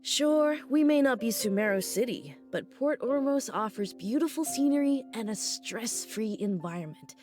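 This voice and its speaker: A woman's voice: a little high, lively intonation, normal speed, hardly any pauses, darkish tone, faint music behind, natural voice. An actress playing a friendly, talkative woman merchant at a busy port in a game cutscene. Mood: chatty. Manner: welcoming, talkative.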